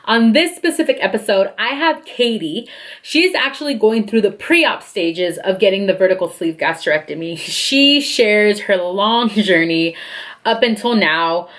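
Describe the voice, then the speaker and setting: A woman's voice: mid-range voice, expressive, a bit bright. Upbeat chatty woman podcast host. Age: late 20s. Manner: upbeat, chatty, quick to agree. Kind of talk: casual podcast chat.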